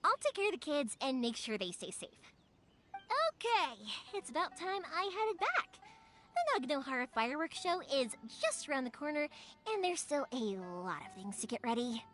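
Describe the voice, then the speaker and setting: A woman playing a cheerful, warmhearted young fireworks maker in a video game, chatty, bubbly and expressive. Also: high-pitched, moderate pace. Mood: cheerful, inviting. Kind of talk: animated video game voice acting. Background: background music.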